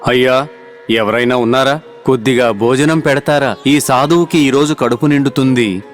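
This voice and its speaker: Brief: male actor as wandering ascetic, grave yet kind, medium pitch, animated moral tale, faint music. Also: moderate pace, lightly acted. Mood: humble, grateful. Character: wandering holy man, grave kindly village sage. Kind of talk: dramatised children's cartoon story.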